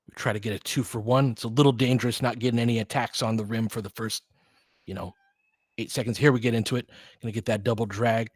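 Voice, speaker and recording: A man's voice: medium pitch, a bit bright, dry recording. A man hosts a live audio basketball call, mixing play-by-play with loose analysis. He is informal and openly partisan, rooting for his team. The sound is close and dry. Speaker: play-by-play commentator and host.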